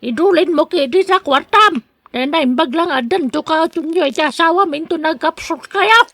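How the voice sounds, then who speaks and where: An actress plays a needy village mother in a Filipino radio drama, with an Ilocano accent. The voice is extremely high, bright and sharp. She speaks with deferential, grateful exclamations in a dry recording. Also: moderate pace, no echo, heavily acted. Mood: humble, pleading.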